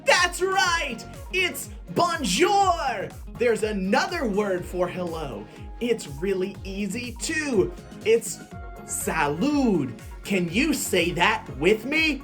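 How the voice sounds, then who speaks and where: A man's voice: high-pitched, big pitch swings, slow pace, a bit bright, full character voice. A man voicing a cheerful bookworm who teaches French words to children in a bouncy, exaggerated, sing-song way. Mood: cheery. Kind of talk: children's show puppet segment. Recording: roomy echo, faint music.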